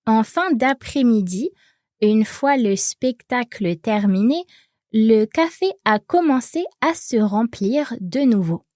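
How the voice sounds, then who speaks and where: A woman in her twenties from France, reading French lines and adding grammar notes in a relaxed way. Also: a little high, no room sound, clean studio sound. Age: 20s. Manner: slow, relaxed, with fillers and light laughs. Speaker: co-host, native French speaker. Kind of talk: bilingual language lesson.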